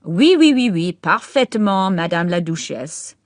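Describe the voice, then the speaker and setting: A snobbish middle-aged gentleman, put on by a woman reader, shows off his French and his knowledge of court history. He speaks in an affected, performing tone, louder than the narration. The sound is dry. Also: fairly low pitch, sing-song, normal speed, no room sound. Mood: unctuous.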